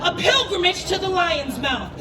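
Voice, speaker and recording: Very high-pitched impassioned woman reciting poetry, echoey. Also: big pitch swings, normal speed, crowd noise. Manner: impassioned, emphatic, dramatic delivery. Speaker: poet. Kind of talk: spoken word poetry recital. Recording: roomy echo, distant microphone.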